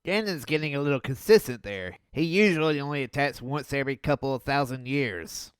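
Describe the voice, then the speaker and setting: A young man reviewing an old cartoon in a sarcastic, mocking voice-over with deadpan jabs. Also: medium pitch, brisk.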